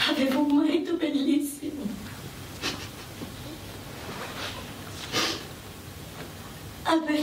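An actress playing a gentle, sorrowful widowed mother forced into wartime prostitution, earnest and hesitant in a radio drama. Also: high voice, close to an everyday voice. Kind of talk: dramatic radio play dialogue. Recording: roomy, distant mic with faint noise.

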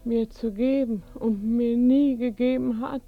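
An actress playing a wistful, bitter dying mother in a radio play, in a husky, breathy, halting voice. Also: a little high, fairly even intonation, dry recording, phone-quality sound. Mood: bitter, urging. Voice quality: husky, creaky, breathy near-whisper. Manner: very slow, halting, insistent. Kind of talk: German radio play acting.

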